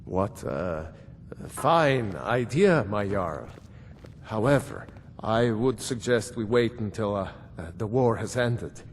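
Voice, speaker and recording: Tactful court steward, echoing hall. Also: male voice, lively intonation. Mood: tactful, hesitant. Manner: measured, deferential. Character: patient, tactful, dry middle-aged court steward. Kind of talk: fantasy game character acting. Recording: reverberant hall, faint rumbling noise.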